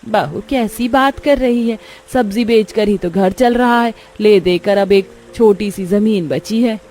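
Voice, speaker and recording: A woman voicing a patient, gentle elderly mother-in-law in a family story, speaking in a mild, forbearing way. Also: medium pitch, measured pace, a little hiss or hum, close to an everyday voice. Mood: weary reproach. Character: patient, gentle old mother-in-law, poor vegetable seller.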